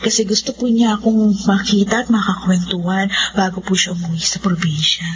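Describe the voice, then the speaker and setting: A woman's voice: medium pitch, little pitch movement, a little fast, lightly acted. An actress playing a sweet, shy young provincial woman in a Filipino radio drama, polite and hesitant. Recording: muffled, noisy radio drama recording.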